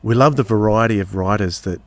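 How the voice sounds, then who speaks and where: A man hosting a podcast in a casual, measured way, pausing mid-phrase. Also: fairly low pitch, dry recording. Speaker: podcast host.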